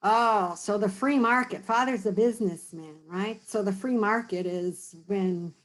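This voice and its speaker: A woman reading a children's picture book aloud, stopping to explain words and ask questions in a gentle, teacherly way. She speaks slowly and calmly in a middle pitch. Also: poor audio. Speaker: storytime reader.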